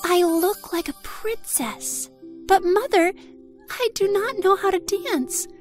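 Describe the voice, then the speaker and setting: A woman's voice: very high voice, slow, faint music behind. A woman playing a sweet, innocent, timid young fairy-tale heroine with a girlish, earnest manner. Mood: wondering, timid.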